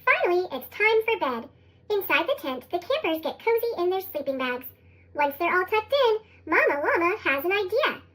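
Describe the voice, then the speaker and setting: Squeaky chipmunk storybook narrator, very high. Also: big pitch swings, normal speed, pushed and bright. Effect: sped-up chipmunk voice. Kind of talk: scripted storybook read-aloud for young children.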